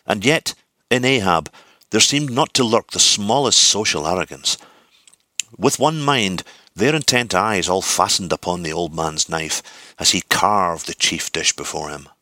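Man, low crisp forceful voice, dry close recording, audiobook narration, theatrical deliberate phrasing. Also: no echo. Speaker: audiobook reader. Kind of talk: scripted literary narration.

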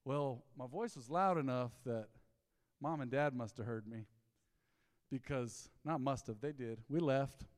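A man, preaching a sermon in a folksy storytelling way, dropping to low asides and rising to loud emphatic bursts. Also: unhurried, big-room sound. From Texas. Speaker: pastor. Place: church sanctuary.

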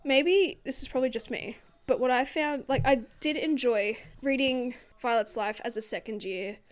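A woman in a relaxed book chat with a friend. She talks in an animated, easy way, swears freely and breaks into laughs. Her voice sits a little high. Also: moderate pace. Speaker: book podcast co-host. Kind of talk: casual chat.

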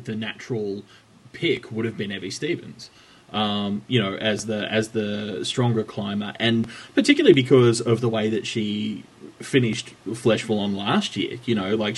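A man's voice: lowish voice, slightly rough. A man co-hosting a podcast, chatting casually and teasing his co-host with dry humour. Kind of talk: casual chat about women's cycling.

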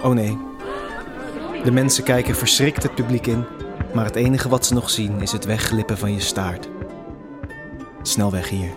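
A man's voice: on the low side, steady tone, moderate pace, pauses often. A man narrating an immersive audio drama in the second person, with a suspenseful storytelling manner. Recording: music behind the voice. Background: music.

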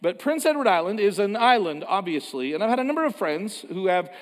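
A pastor from Canada giving a sermon in a conversational way, with joking asides and frequent restarts. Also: male voice, sits high, big pitch swings, brisk. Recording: long hall reverb.

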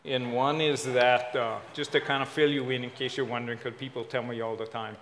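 A man from Hawaii with a Hawaiian accent, filling in as guest preacher and giving a casual, halting sermon. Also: medium pitch, flat delivery, a bit bright, a little hiss or hum. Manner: casual, self-deprecating, halting with fillers.

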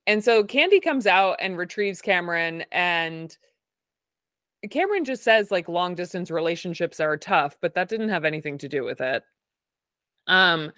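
A woman hosting a casual recap chat in a fairly low, masculine-leaning voice, dry and wry, laughing at her own jokes. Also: a bit bright, dry recording. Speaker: podcast host.

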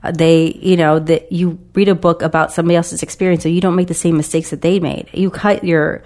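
Low-voiced woman with a cold, rambling. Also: flat delivery, fairly quick, a little mellow. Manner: rambling, many fillers like "like" and "you know". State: recovering from a cold, sniffling. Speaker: podcast co-host. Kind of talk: casual dating-advice chat.

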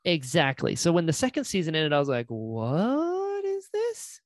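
A man's voice: a little high, huge pitch swings, unhurried, no echo, clean studio sound. A man hosting a casual interview, talking in an enthusiastic, gushing way and teasing playfully. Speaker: podcast host and voice actor.

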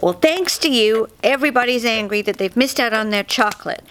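A woman's voice: on the high side, animated, fairly quick, fairly bright tone. Woman as a stern, scolding Easter Bunny. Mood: stern, exasperated. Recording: faint noise, live theatre reading.

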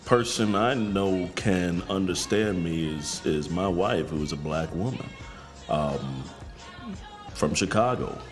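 Podcast guest: unhurried deep-voiced man, frank, swears freely. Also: lively intonation, soft background music. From New York. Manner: direct, blunt, swears freely. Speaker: guest, counselor. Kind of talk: candid podcast conversation.

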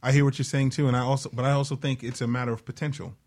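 Male panelist, average pitch, reasoning aloud in a conversational motivational style with many asides. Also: level tone, rapid-fire, a little mellow. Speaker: talk show panelist.

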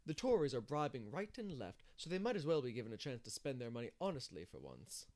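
A man's voice: mid-range voice, very animated, fast talker, a little mellow, close to an everyday voice. A man voicing a bustling, opinionated village matron in a storybook, chatty and sure of herself. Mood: opinionated, confident.

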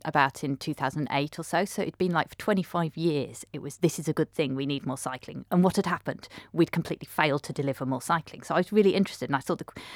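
A woman's voice: sits low, no room sound, studio quality. A woman answering as an academic guest, conversational and thoughtfully explanatory. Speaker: interview guest, academic researcher.

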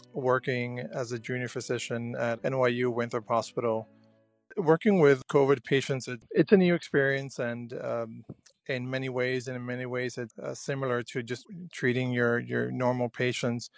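A young man from Cuba talks earnestly and haltingly, stopping and starting often. His voice stays level and even, with little rise and fall. He sounds close to the mic in a dry, dead room. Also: mid-range voice, creaky, no echo, faint music behind. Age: young adult. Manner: hesitant and earnest, many fillers and restarts. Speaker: junior physician. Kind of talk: personal radio diary.

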